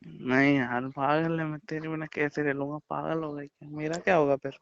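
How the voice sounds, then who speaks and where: Young adult male, higher-pitched voice, casual relationship phone chat, dull lo-fi sound. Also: flat delivery, moderate pace, smooth, no room sound. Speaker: boyfriend on a prank call. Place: phone call. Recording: dull, lo-fi phone call recording.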